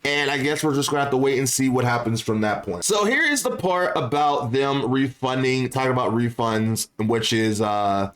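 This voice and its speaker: A man's voice: mid-range voice, normal speed, few pauses, fairly bright tone. A man giving casual, opinionated gaming commentary to viewers, speaking in an informal, slangy way and repeating phrases for emphasis. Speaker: gaming YouTuber.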